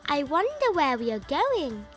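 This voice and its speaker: A woman voicing an excited young animal schoolchild from a picture book in an eager, singsong way. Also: high voice, a little slow, voice pushed up, full character voice. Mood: curious. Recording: children's music behind the voice.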